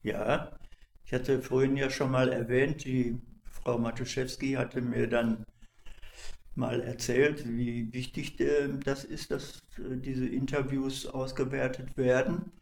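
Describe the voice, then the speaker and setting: An older man volunteering as an archivist, answering interview questions with many pauses and restarts. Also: mid-range voice, flat delivery, vocal fry. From not from Cologne. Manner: hesitant, many fillers and restarts. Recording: echoey room.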